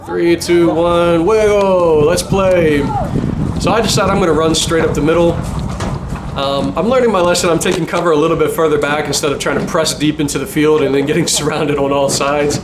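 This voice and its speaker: A man's voice: on the high side, fairly even intonation, a little fast, noisy background. A man giving casual voice-over commentary on his own paintball game footage, chatty and self-deprecating, with small chuckles.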